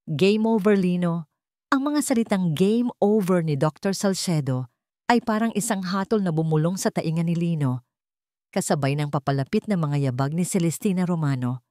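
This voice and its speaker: A woman storyteller reading a scripted dramatic tale in a measured delivery with deliberate pauses. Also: fairly low pitch, lively intonation, mellow, dry recording, clean studio sound.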